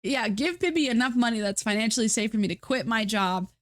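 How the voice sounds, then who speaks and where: A woman talking casually on a live stream from home, her voice pitched in the middle and a little stuffy. She rambles and restarts, full of fillers and self-mocking asides. The sound is close and dry, with no room echo. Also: animated, a little fast, fairly bright tone. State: stuffy nose.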